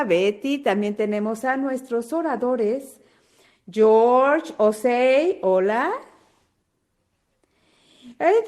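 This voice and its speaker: Slow-talking Argentine woman hosting a webinar. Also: on the high side, a little mellow. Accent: Argentine Spanish. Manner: unhurried, greeting attendees one by one. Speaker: webinar host. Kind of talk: live webinar welcome. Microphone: video call.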